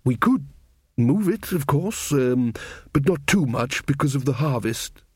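A man's voice: mid-range voice, animated, measured pace, husky, dry recording, clean studio sound. A man voicing an earnest, pedantic young king who stammers and lectures cheerfully. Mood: earnest, fussy. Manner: pedantic, self-satisfied, stammering.

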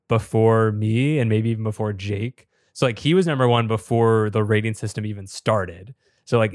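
A man co-hosting a podcast who is a little sick and losing his voice, so he sounds slightly raspy, explaining things in a chatty way with many filler words. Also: lowish voice, no room sound, studio quality. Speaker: podcast co-host and top competitive player.

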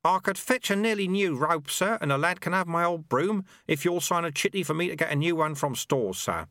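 A man voicing an ancient male monk sweeper who is fawning and sly, in a higher voice than his own. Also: animated, fairly bright tone, no room sound, studio quality. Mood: eagerly servile. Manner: obsequious, fawning, brisk. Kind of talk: audiobook character voice.

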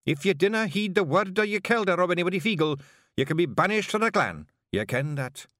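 A man voicing a small, stern female pixie clan leader with a sharp, commanding Scottish voice. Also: sits high, lively intonation, a little fast, no echo, studio quality, voice pushed up. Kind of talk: audiobook character voice.

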